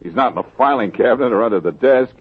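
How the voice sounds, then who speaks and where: A cool, husky radio detective. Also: male voice, mid-range voice, fast. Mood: calm. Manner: calm, dry, wisecracking. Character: cool-headed male private detective. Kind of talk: 1940s radio acting. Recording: tinny old radio broadcast.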